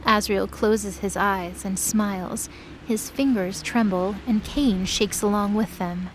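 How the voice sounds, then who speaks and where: A woman's voice: medium pitch, steady tone, takes it slowly, a little hiss or hum, low-quality recording. A woman reading scripted narration for an audio drama, in the role of storyteller.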